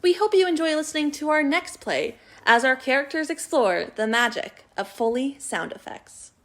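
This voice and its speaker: Female narrator, slightly high voice, steady scripted read for a radio play introduction. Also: moderate pace. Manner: reads evenly from a script.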